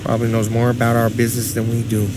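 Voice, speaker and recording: A man's voice: mid-range voice, completely flat delivery, quick pace, very noisy, natural voice. Man playing a brash joking mobster. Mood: teasing. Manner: crude joking, tough street talk. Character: brash wisecracking male mobster, middle-aged. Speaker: gangster. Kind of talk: video game cutscene acting.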